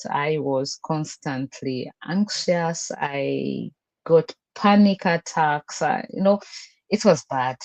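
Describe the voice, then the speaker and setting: This is a middle-aged woman with a Ugandan accent and a slightly low voice. She gives a personal testimonial on an online group call. Her storytelling comes in short, emphatic phrases. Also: a little mellow. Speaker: guest, business coach and language teacher.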